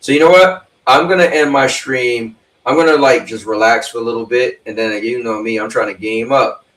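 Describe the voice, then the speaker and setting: A man's voice: medium pitch, normal speed, fairly bright tone, distant mic. Fifty-year-old man chatting with his stream. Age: 50s. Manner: loose, rambling, talks straight to chat. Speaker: livestream host. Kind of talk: casual chat with a livestream audience. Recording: roomy sound, away from the mic.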